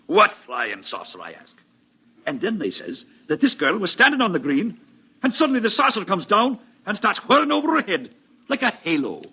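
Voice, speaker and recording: A man playing a flustered, deferential beat cop in an old-time radio drama, raspy, folksy and stammering. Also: high voice, wildly swooping pitch, moderate pace, bright, forceful tone, exaggerated voice, poor audio. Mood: excited, insistent. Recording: vintage radio drama recording.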